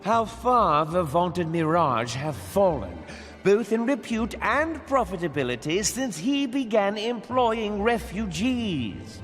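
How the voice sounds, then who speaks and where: An actor playing a scheming, wealthy merchant lord with a pompous, sarcastic manner. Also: male voice, high voice, very animated, slow pace, fairly bright tone, long reverb tail, soft background music, full character voice. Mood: disdainful. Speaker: game character.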